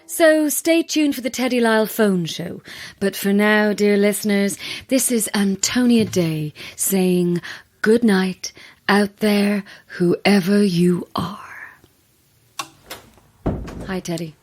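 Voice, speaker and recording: An actress playing a cool, poised late-night radio presenter in an audio drama, with a smooth, measured and aloof delivery. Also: female voice, mid-range voice, darkish tone.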